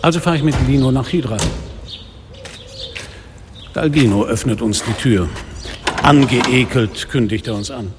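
Actor as gravelly sardonic police commissioner. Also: male voice, mid-range voice, a little slow, pauses often, background noise, close to an everyday voice. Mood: wry. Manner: dry, literary, ironic. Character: sardonic, world-weary male police commissioner narrating his case. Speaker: narrator and lead actor. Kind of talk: radio drama narration and dialogue. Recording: studio radio drama, reverberant, music underneath.

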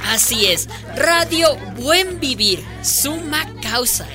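An actress playing an upbeat community radio presenter, welcoming and announcing in a radio drama. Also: female voice, sits high, big pitch swings, slow pace, crowd noise, heavily acted. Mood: proud announcing. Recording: music behind the voice.

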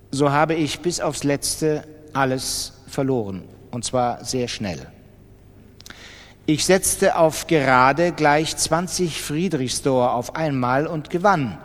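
An actor playing an obsessive, self-mocking gambler who confides his brooding thoughts in a literary radio monologue. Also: on the high side. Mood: agitated. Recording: long reverberant hall sound.

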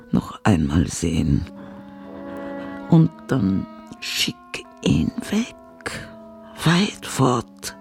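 An actor in a radio play voicing a dying elderly mother, noble and resigned, speaking in a weak, halting way. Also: medium pitch, gravelly, a little mellow, full character voice. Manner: halting, weak, fragmentary delivery. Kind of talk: radio drama acting.

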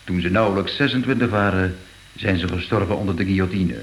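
Actor as a deep-voiced gallery director. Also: male voice, fast talker, slight background noise, lightly acted. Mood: courteous, informative. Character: courteous, practical male gallery director. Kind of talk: radio drama acting.

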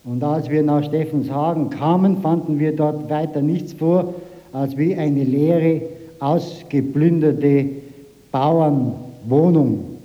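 A man's voice: on the high side, takes it slowly. A farmer from Bavaria, a man recounting his own experience in an interview. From Munich, Bavaria, Germany. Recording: dull, reverberant archive tape.

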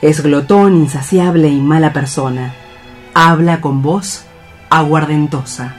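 A man's voice: fairly high pitch, a little slow. A man reading scripted scene narration for a radio play. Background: music.

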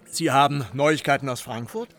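An actor playing a courtly, inquisitive gentleman traveller in a radio drama, formal and polite. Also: medium pitch, normal speed, natural voice. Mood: polite, probing. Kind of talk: German radio drama acting.

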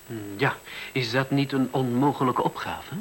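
An old radio drama recording with light hiss. An actor plays a male visitor with a mid-pitched voice, asking questions in a measured, puzzled and politely sceptical way. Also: lightly acted.

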